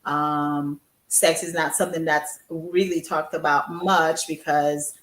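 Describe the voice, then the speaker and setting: Low-voiced woman host in an echoey room. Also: little pitch movement, slow, a bit bright. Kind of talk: hosting a chat stream.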